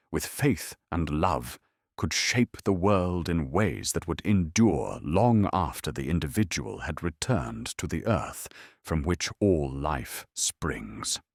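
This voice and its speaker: A man reading a calm, scripted historical bedtime story in a soothing, solemn, measured way. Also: low-pitched, no echo, clean studio sound. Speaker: narrator.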